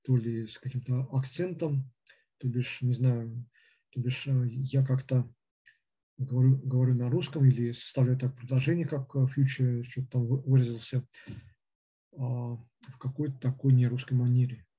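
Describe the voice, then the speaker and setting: Halting man with a dark husky voice. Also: medium pitch, fairly even intonation, highs cut off. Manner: halting, with constant hesitations and fillers. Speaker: lecturer on philosophy. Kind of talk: unscripted lecture.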